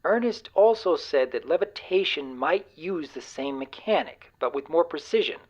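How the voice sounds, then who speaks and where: Woman acting probing, lively team leader, low voice. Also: lo-fi sound. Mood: brisk. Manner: probing, brisk, to the point. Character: inquisitive, businesslike mission team leader. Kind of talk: audio drama acting.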